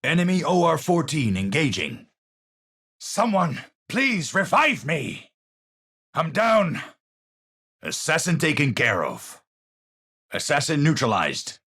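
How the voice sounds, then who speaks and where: An actor playing a cerebral, haunted, middle-aged scientist in a video game, speaking formally and precisely with theatrical, pensive phrasing. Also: male voice, fairly high pitch, huge pitch swings, takes it slowly, long pauses, bright, forceful tone, slightly rough, full character voice. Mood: measured, intent. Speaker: video game hero character. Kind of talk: scripted game voice lines, theatrical.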